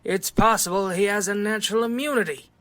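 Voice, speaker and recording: A woman playing an excitable, absorbed scientist doctor in an audio drama, precise and given to muttering. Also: medium pitch, a little fast, lightly acted. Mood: fascinated.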